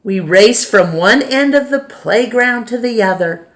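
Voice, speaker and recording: A woman reading a children's picture book aloud as storytime reader, with dramatic pauses and emphasis. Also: a little high, lively intonation.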